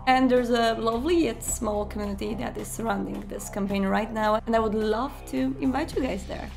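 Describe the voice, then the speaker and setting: A woman's voice: medium pitch, vocal fry. Woman introducing a campaign over music. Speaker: campaign organizer and player introducing the session.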